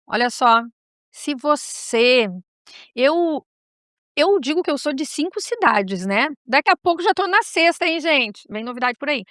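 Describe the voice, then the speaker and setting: A woman's voice: sits high, lively intonation, measured pace, a bit bright, no echo, clean studio sound. A woman with a gaúcho accent from southern Brazil hosting a talk show, chatting casually, digressing and speaking straight to her viewers. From Rio Grande do Sul, Brazil.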